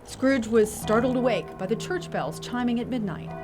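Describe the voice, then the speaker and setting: A woman's voice: on the high side, expressive, moderate pace, over music. A woman giving scripted storytelling narration as the narrator of an audio theater piece.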